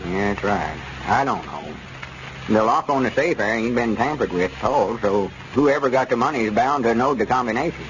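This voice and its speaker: A man's voice: mid-range voice, lively intonation, normal speed, fairly bright tone, close to an everyday voice. Old rural Southern rustic, played by a male actor: trusting, folksy drawl, golden-age radio comedy, dull noisy recording. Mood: puzzled. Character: folksy old country storekeeper turned circus owner, slow and trusting. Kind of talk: 1930s-40s radio comedy acting.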